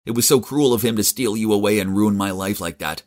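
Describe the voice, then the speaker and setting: A man's voice: high-pitched, huge pitch swings, unhurried, creaky, sharp tone, slightly rough, no room sound, studio quality, voice pushed up, full character voice. A man voicing a scheming, vengeful young woman who turns pleading when caught. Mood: scornful. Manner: pleading, then spiteful.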